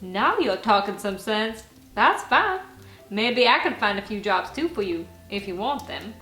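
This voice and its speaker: A woman voicing a sensible, gently teasing father in a bedtime story, with a measured, reasoning manner. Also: medium pitch, big pitch swings. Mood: approving. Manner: measured, reasoning, mildly doubtful. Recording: roomy sound with faint music behind.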